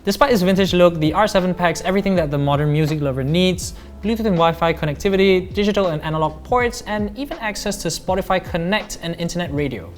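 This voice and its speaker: A man's voice: sits high, fast talker, hardly any pauses, soft background music. A man in his thirties with a Malaysian accent, narrating a scripted product review as a tech reviewer.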